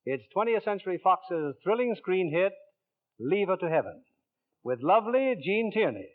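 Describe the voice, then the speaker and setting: Tinny vintage radio, male host, high-pitched, polished scripted announcing. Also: big pitch swings, moderate pace. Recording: tinny 1940s radio recording.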